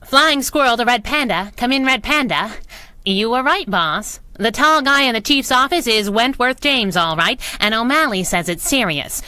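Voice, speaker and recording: A brash young masked heroine in the style of 1930s radio adventure. Her voice is bright and sharp, swinging animatedly through sassy, slangy banter. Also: fairly high pitch, animated, normal speed, clear, resonant tone, no echo. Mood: brisk. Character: brash wisecracking young female masked crimefighting sidekick.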